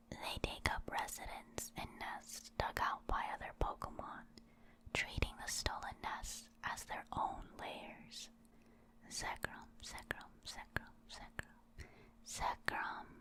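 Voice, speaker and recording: Slow whispering ASMR woman. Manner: soft, unhurried, soothing delivery with repeated words. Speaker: ASMR creator. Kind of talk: whispered ASMR reading of game encyclopedia entries.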